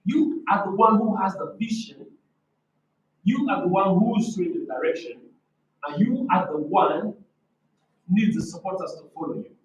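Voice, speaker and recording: A man with a loud, forceful voice giving an emphatic, didactic motivational talk at a conference, repeating key phrases and asking rhetorical questions. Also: high-pitched, moderate pace, pauses often, muffled recording. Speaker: conference speaker on leadership. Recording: echoey room, distant microphone.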